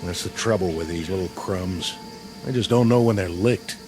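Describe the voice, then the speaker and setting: An actor playing a tough, weary army sergeant who talks in a gruff, sarcastic way. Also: male voice, low voice, normal speed, darkish tone, lightly acted. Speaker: actor in a war drama. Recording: old TV soundtrack with music.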